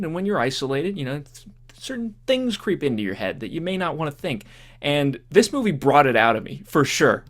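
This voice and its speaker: A man talks as a podcast co-host in a casual review style. His delivery is loose and conversational, often rambling and circling back as he works toward his point. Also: fairly high pitch. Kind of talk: casual film review chat.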